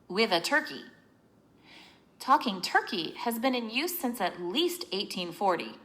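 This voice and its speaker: A woman reading a children's book aloud in an expressive, teacherly way, explaining as she narrates. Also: mid-range voice.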